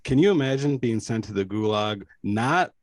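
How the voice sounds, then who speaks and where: A man's voice: on the low side, animated, moderate pace, dry recording. A man co-hosting a podcast, chatting casually about movies and swearing freely. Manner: casual, profane. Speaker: podcast co-host.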